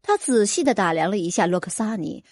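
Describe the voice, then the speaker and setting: A woman's voice: medium pitch, expressive, fairly quick, dry recording, studio quality. A woman reading a fantasy novel as an audiobook narrator, in a dramatic, scripted storytelling style.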